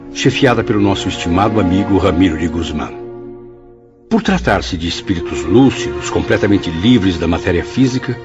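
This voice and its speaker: A man narrating a scripted radio drama as its storyteller. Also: deep voice. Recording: slightly dull audio, music behind.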